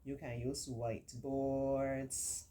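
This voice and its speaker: A middle-aged woman hosting a children's storytime, reading aloud and adding reassuring, encouraging teaching asides with drawn-out emphasis. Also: a bass voice, little pitch movement, mellow, slight background noise. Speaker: children's storytime host and remedial tutor.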